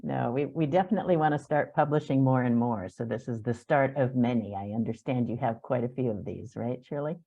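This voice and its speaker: A woman's voice: a bass voice, fairly quick, vocal fry, few pauses, mellow. A woman chatting casually and encouragingly in a group, with dull lo-fi sound. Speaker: participant in a community discussion. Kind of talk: casual chat.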